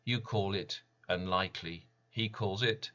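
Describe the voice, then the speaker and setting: Male poet, lowish pitch, firm bright tone, solemn and deliberate dramatic recital in short phrases, slightly dull sound. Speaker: poet reading own work.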